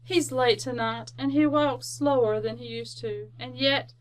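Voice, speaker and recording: Actress playing sorrowful mother, high voice, tender, earnest, old-time radio drama. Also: unhurried, close to an everyday voice. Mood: grieving, tender. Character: loving, sorrowful working-class mother and wife.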